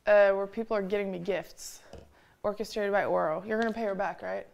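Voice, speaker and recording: A woman's voice: medium pitch, fairly even intonation, fairly quick, creaky, darkish tone. A woman in her late twenties chatting casually on a live stream from her home kitchen, teasing and swearing freely. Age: late 20s. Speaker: streamer co-host.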